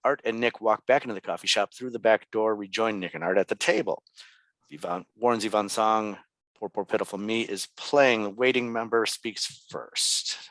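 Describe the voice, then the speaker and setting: A man's voice: fairly low pitch, normal speed, a bit bright, dry recording. A man reading out scene descriptions as the narrator of a script. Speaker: stage directions narrator.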